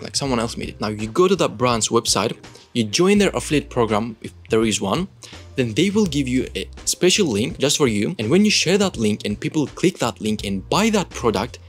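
A man with a Turkish accent, a content creator explaining things in a loose, chatty way with lots of filler words. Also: medium pitch. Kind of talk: casual talking-head explainer.

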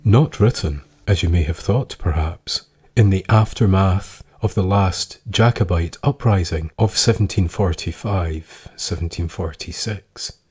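Male podcast narrator, low and unhurried, deliberate storytelling with weighted pauses. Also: darkish tone, slightly rough.

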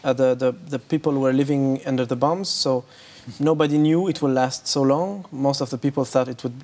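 A man's voice: medium pitch, fairly even intonation, a little fast, darkish tone. A man in his thirties, a musician, answering interview questions with many fillers and restarts. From Lebanon. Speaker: musician guest. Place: bright room with big windows, indoors. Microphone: lapel.

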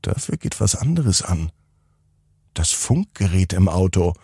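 A man narrating a scripted children's bedtime story in a gentle, unhurried way, with deliberate pauses. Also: sits low, sing-song, slightly rough, no echo, clean studio sound.

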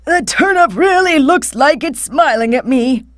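A woman voicing a cheerful, patient old grandfather farmer in a storybook, with drawn-out, expressive delivery. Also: sits high, no room sound, studio quality. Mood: delighted.

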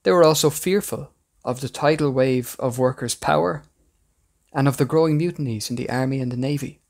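A man's voice: mid-range voice, fairly quick, creaky, darkish tone. A man reading a scripted history narration as the host of a podcast.

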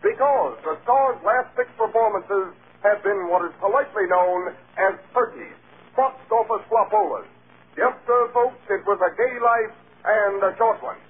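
A man's voice: high voice, unhurried. An actor playing a snappy, snide male Hollywood gossip columnist, punchy and insinuating, in 1930s broadcast style. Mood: gleefully snide. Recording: scratchy, tinny old radio broadcast.

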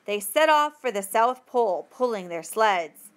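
A woman reading a textbook passage aloud as a teacher would, with careful, deliberate phrasing. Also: a little high, animated.

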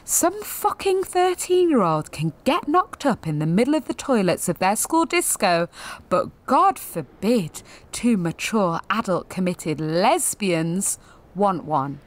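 A woman's voice: mid-range voice, sing-song, takes it slowly. An actress in her twenties playing a jaded, sweary, sensitive gallery attendant in a confessional audio drama monologue, wry and self-deprecating. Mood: bitter, resigned. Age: 20s.

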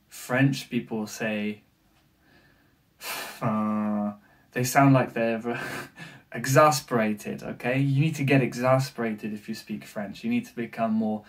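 A young man with an English accent, giving animated to-camera language-learning tips as a coach in a small room. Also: mid-range voice, recorded from a distance. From England. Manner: animated, gestures with hands, many fillers. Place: small room with bookshelf, indoors.

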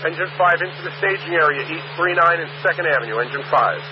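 Methodical New York fire dispatcher on radio. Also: male voice, fairly high pitch, fast talker. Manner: clipped, methodical radio procedure. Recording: muffled dispatch radio with loud static.

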